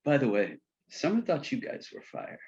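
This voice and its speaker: A man's voice: mid-range voice, huge pitch swings, moderate pace, darkish tone. An actor playing an eager, friendly young man in a comedy table read, gushing in slang, on a dull lo-fi recording.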